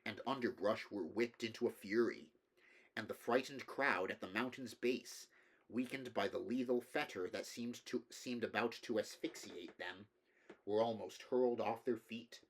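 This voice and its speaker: A man's voice: on the low side, fairly bright tone. A man reading a horror story aloud as scripted narration. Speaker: audiobook reader.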